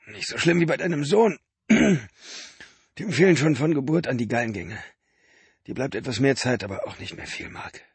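A man's voice: mid-range voice, expressive, moderate pace, husky, no echo, pitched above the performer's own voice. A man voicing an exhausted adult man who pleads urgently and breathlessly with his brother. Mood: urgent pleading.

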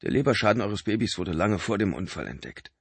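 A man's voice: lowish voice, lively intonation, moderate pace, husky, dry recording, voice pushed up. A man voicing an exhausted adult man who pleads urgently and breathlessly with his brother. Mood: urgent pleading.

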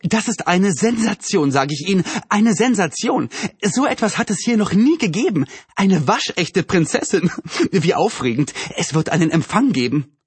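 Storybook neighbor woman, performed by a male reader: shrill, gushing, excitable busybody, pitched high. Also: moderate pace, husky, no room sound, heavily acted. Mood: thrilled. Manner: gushing, sensational.

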